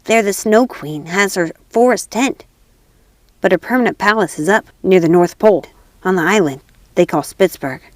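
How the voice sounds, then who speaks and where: A woman's voice: mid-range voice, moderate pace, no room sound, close to an everyday voice. A woman voicing a reindeer in a storybook, earnest and wistful with pride in its homeland. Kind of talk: storybook character voice.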